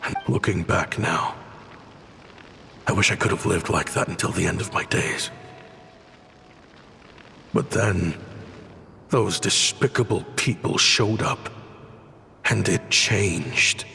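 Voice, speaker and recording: A wistful former scholar in a video game recalls his life in a measured way. His voice sits fairly low and carries a long reverberant tail, with music underneath. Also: creaky, sharp tone, heavily acted. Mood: wistful, nostalgic. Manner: reflective, measured storytelling. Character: former scholar turned desert inventor, wistful and regretful. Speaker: story narrator and character. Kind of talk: scripted video game narration and dialogue.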